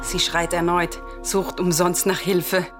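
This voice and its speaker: A woman's voice: lowish voice, flat delivery, slow pace, over music. An actress playing a fervent, vengeful daughter of a murdered king in a classical radio tragedy, solemn and declamatory.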